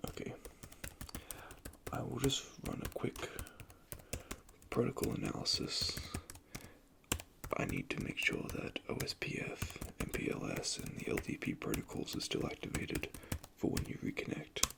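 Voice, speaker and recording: A man whispering softly and methodically as he plays a repair technician in an ASMR roleplay, recorded close to the microphone. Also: mid-range voice, normal speed, vocal fry, very noisy. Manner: soft, calm, methodical, speaks in technical jargon. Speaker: ASMR creator playing an android repair technician.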